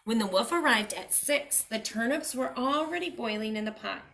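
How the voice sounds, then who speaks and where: Bright, animated woman reading a storybook. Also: on the high side, normal speed, creaky. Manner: animated, dramatic, speaks directly to young listeners. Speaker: storybook reader for children. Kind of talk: expressive children's read-aloud narration.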